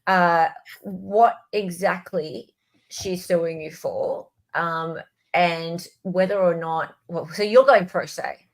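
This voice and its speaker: A woman's voice: lowish voice, fairly even intonation, slow, vocal fry. A woman hosting a livestream in a casual, conversational way. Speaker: livestream host. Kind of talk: casual chat.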